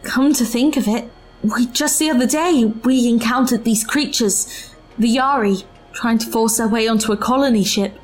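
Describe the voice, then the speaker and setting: A woman's voice: a little high, moderate pace, close to an everyday voice. An actress in an audio drama playing an earnest, loyal woman from the distant past, formal, hesitant and thoughtful. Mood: troubled, doubtful. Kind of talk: full-cast audio drama acting.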